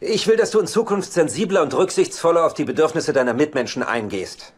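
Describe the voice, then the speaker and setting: A man's voice: fairly high pitch, animated, brisk, slightly rough. An actor playing a cynical, womanizing bachelor in a dubbed sitcom, with a dry, grumbling delivery. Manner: sardonic, grumbling.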